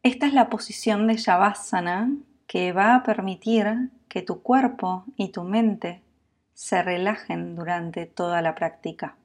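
A woman with a Latin American Spanish accent guiding a sleep meditation in a calm, soothing, unhurried manner, leaving long pauses. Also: mid-range voice. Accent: Latin American Spanish with voseo. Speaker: yoga nidra meditation guide.

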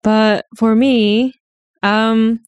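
A woman's voice: fairly high pitch, steady tone, very slow, smooth, no echo. A young woman from mainland China chatting casually, hesitant and full of fillers. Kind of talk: casual chat.

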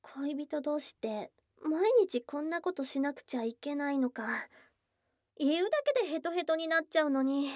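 A woman voicing a shy, smitten, tomboyish young goblin girl in an intimate ASMR voice drama, halting and flustered, with soft murmurs. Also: sits high, no room sound, studio quality, lightly acted. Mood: shy, tender. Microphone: close studio microphone, dry.

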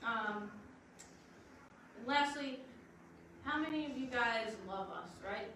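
A woman's voice: on the high side, takes it slowly. Chatty woman, heavy echo, distant. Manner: chatty, upbeat, rambling. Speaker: admissions staff speaker. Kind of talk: church announcements and testimony. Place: large echoey hall, church service. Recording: distant mic, heavy room echo, background noise.

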